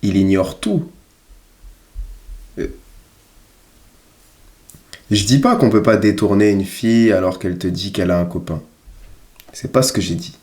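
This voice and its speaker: Low-voiced man commenting in an echoey room. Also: lively intonation, a little slow, pauses often, darkish tone. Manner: emphatic, repeats phrases for stress. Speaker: dating coach reviewing footage. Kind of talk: casual critical commentary.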